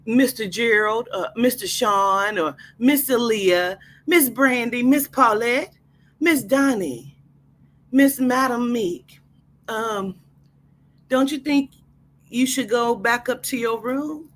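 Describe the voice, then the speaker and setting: A woman's voice: a little high, takes it slowly, fairly bright tone, pitched above the performer's own voice. A woman voicing a female hotel front desk attendant in a told story, eerily insistent, polite and pointed, repeating herself.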